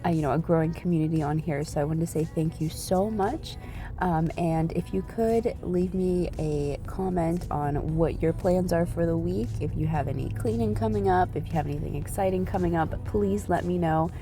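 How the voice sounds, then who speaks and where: Woman with a cold, low gravelly voice, friendly chatty vlog talk, music playing under her. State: has a cold, voice off. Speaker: cleaning channel host. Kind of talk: casual vlog talk to viewers.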